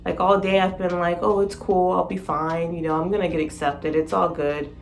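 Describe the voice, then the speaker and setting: Raspy, gravelly-voiced woman vlogger. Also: fairly low pitch, moderate pace. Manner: excited, rambling, laughs often. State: sleep-deprived. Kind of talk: casual vlog chat.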